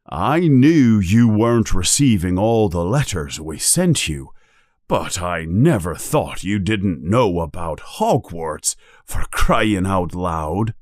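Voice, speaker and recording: A man voicing a booming giant gamekeeper in a storybook, hot-tempered yet kindly, with an emphatic, exclaiming way of talking. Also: very animated, takes it slowly, a little mellow, dry recording, clean studio sound, heavily acted. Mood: shocked.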